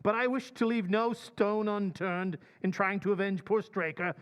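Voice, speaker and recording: A man's voice: high-pitched, normal speed, smooth, close to an everyday voice. A man playing a courteous, determined colonel and racehorse owner in an old-time radio drama, speaking formally. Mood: welcoming, resolute.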